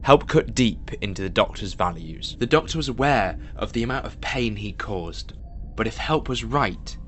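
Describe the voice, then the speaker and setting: A man's voice: on the low side, animated, normal speed, a bit bright, slight background noise. A man reading scripted narration as the narrator of an audio drama.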